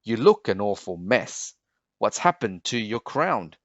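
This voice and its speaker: Pompous scolding king for a picture book man acting, slow, emphatic, big pitch swings. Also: very animated, a bit bright, dry recording, lo-fi sound, full character voice. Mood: angry, scolding. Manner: thundering, disapproving, drawn-out scolding. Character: stern, pompous king uncle, scolding his niece. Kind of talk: children's storybook read-aloud.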